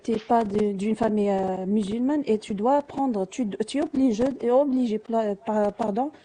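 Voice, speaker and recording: A woman with a fairly high, very soft-edged, mellow voice. She talks casually and personally in a live discussion, often halting and repeating little words as she looks for the right one. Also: slight background noise. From Algeria. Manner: hesitant, searching for words, repeating small words. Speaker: live audio discussion participant.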